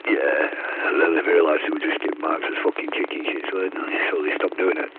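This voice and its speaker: A man's voice: rumbling low, fairly even intonation, brisk. A middle-aged man with a Northern English accent, chatting in a matey, blunt way as a CB radio operator. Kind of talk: casual radio chat. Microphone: CB radio microphone. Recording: noisy, tinny CB radio transmission with clicks.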